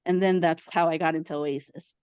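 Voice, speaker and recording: A woman's voice: deep voice, steady tone, a little fast, darkish tone, clear, resonant tone, dry recording. Chatty Mexican-born woman on a muffled video call. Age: 30s. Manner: eager, chatty, many fillers. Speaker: caller. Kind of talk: casual chat.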